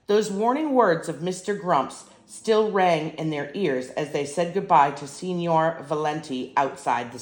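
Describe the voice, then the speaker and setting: An older woman reading a children's storybook aloud as a grandmother would, in an expressive, emphatic way. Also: low-pitched, roomy sound.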